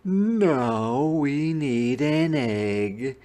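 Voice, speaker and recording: Guy reading as a patient mom in a kids book, calm, real slow instructions. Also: medium pitch, pitched above the performer's own voice. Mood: patient, instructing. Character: calm, instructing mother in a storybook. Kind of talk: children's read-aloud.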